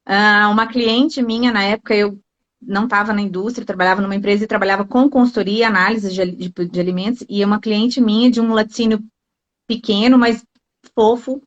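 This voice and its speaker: A Brazilian woman hosting a casual live online interview, speaking in an effusive, informal, anecdotal way. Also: medium pitch. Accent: Brazilian Portuguese. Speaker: host of a live online talk; food industry consultant. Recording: dull, lo-fi online call audio.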